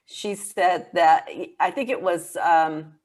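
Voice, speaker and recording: A woman hosting a podcast, telling anecdotes in a measured way. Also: on the low side, darkish tone. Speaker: podcast host. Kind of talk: podcast conversation.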